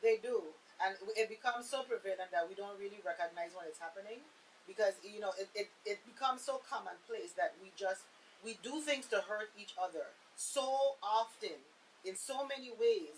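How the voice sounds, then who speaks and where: A woman of medium pitch speaks conversationally as a panelist. She drives her points home with emphasis and rhetorical questions, over a faintly hissy recording. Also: moderate pace, low-quality recording. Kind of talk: conversational explanation. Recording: faint background hiss.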